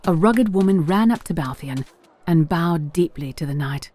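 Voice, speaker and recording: Low-voiced woman narrating a fantasy tale. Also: expressive, moderate pace, darkish tone. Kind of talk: scripted story narration for audio drama.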